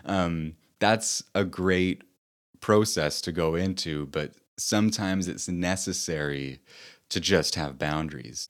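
A man with a deep voice giving a slow, thoughtful personal talk as an author and host.

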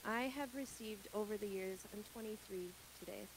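A woman's voice: medium pitch, moderate pace. Earnest young woman, hissy recording. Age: 20s. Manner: candid and earnest, laughs at her own slips. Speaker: church member sharing her testimony. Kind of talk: personal testimony to a congregation. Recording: hissy background noise.

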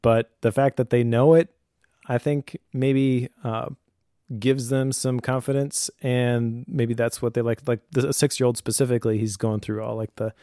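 A man in his thirties speaks at an average pitch with very little room sound. He explains things in a casual, conversational manner, like a dad sharing advice as co-host of a talk. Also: flat delivery, moderate pace, warm tone, dry recording, studio quality. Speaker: co-host, homeschooling father.

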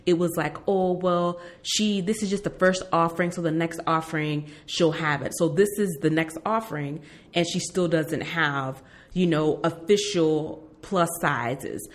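A woman's voice: deep voice, measured pace. A woman co-hosting a podcast, chatting informally and acting out what people said as she tells things. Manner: informal, acts out quoted remarks. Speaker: podcast co-host. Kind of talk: casual conversational storytelling and opinion.

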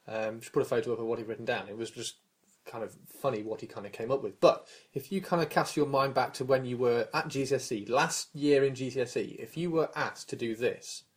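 A man's voice: mid-range voice, a little fast. A British man in his forties hosting a podcast, chatting casually and thinking aloud. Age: 40s. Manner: thinking aloud, tripping over numbers. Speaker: podcast host. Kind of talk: casual chat.

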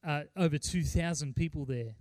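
A middle-aged man preaching as a pastor, speaking earnestly and emphatically, with deliberate pauses and repeated phrases. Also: a little high, a little mellow, no room sound. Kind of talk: sermon with mission report and scripture reading.

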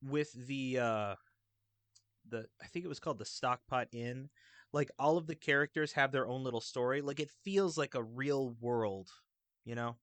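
A man chatting casually about video games in a rambling, halting, enthusiastic way. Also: medium pitch, fairly bright tone, no room sound. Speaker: video game podcast panelist.

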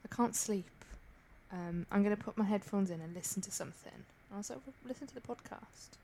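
A woman's voice: mid-range voice, brisk, dark tone, a little hiss or hum. A British woman co-hosting a casual podcast, chatty and self-deprecating, laughing often. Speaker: podcast co-host.

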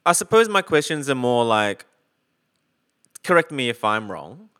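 A man's voice: mid-range voice, very animated, measured pace, fairly bright tone, clear, resonant tone. A man chatting casually on a call about recording gear, rambling with lots of fillers and the odd swear. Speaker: podcaster on a video call.

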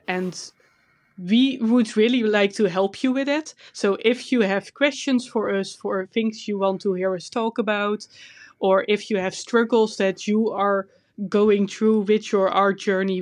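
Dutch-accented woman co-hosting a chatty podcast. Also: medium pitch. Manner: chatty, laughs often, self-conscious. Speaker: podcast co-host. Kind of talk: casual chat.

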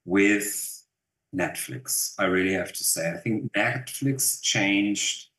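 A man's voice: fairly low pitch, slow, a bit bright. A man in his fifties with a German accent, an actor chatting in an interview, rambling with fillers and restarts. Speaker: actor guest. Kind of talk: casual interview. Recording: roomy echo.

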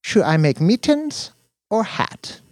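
A man's voice: sits high, sing-song, takes it slowly, darkish tone, dry recording, studio quality, voice pushed up, exaggerated voice. A man voicing a tiny, doting female holographic cheerleader, gushing in broken English in an audiobook. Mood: bubbly, adoring.

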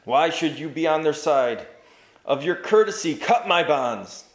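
A man puts on a bound, enchanted knight who begs in grand, archaic phrases and climbs toward frantic shouting. The voice sits above a plain reading voice. The sound carries a roomy echo. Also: on the high side, animated, measured pace, voice pushed up. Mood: pleading. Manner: pleading, rising to frantic, grand old-fashioned speech. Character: tormented enchanted male knight bound in a chair.

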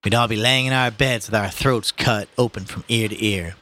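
A man's voice: fairly low pitch, normal speed, sharp tone. A man reading a novel aloud, voicing a fretful, indignant older mother with a folksy manner. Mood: righteous. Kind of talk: audiobook-style novel reading.

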